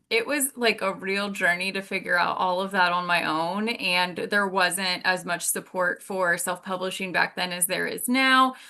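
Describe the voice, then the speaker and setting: Bright, forceful-voiced woman chatting as podcast guest. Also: mid-range voice. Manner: informal, explanatory, encouraging. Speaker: podcast guest, author and storyteller. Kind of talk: casual interview chat.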